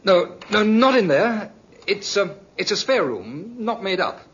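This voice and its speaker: A man's voice: sits high, very animated, unhurried, fairly bright tone, husky, full character voice. An actor playing an easygoing, suave ladies' man with a secret, bantering and flirtatious in 1950s radio drama style. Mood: evasive, uneasy. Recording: muffled, hissy vintage radio recording.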